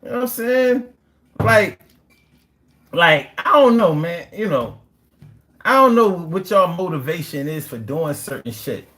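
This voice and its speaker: A young man with a Philadelphia accent talks to his livestream viewers in loose, profane street slang. His delivery swings up and down as he boasts and jokes, and his pitch moves a lot from line to line. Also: big pitch swings, a little slow, long pauses, pushed and bright. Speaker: livestream host. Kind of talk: casual livestream chat reading comments.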